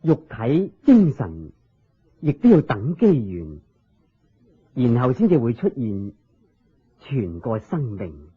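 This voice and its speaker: Wise calm buddha, hong kong cantonese radio play, really husky, slow measured teaching, muffled audio. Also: male voice, medium pitch, exaggerated voice. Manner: measured, sermon-like explaining in short phrases. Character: serene, wise Buddha patiently teaching a king. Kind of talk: Cantonese radio drama acting. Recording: dull lo-fi radio drama recording.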